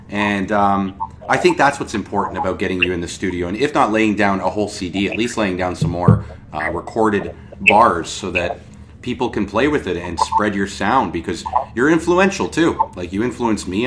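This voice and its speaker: A man's voice: on the low side, noisy background. A man hosting a podcast, talking in an enthusiastic, rambling way full of fillers. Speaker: podcast host. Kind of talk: podcast interview.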